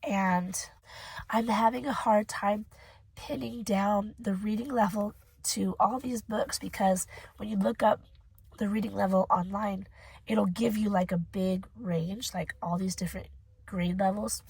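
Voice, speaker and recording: Hoarse, husky woman chatting about a book. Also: medium pitch, fairly even intonation, measured pace, a little mellow, dry recording, low-quality recording. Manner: chatty, rambling, emotional. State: hoarse from a cold or allergies. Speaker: book reviewer, channel host. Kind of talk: casual book review vlog.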